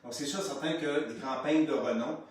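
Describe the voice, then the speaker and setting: A man's voice: a little high, normal speed. A man in his fifties with a Québécois accent, a painter chatting live and hesitant, with many fillers and repeated words. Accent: Québécois French. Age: 50s. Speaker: painter, featured artist. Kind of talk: casual live conversation. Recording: echoey room, distant mic, faint noise.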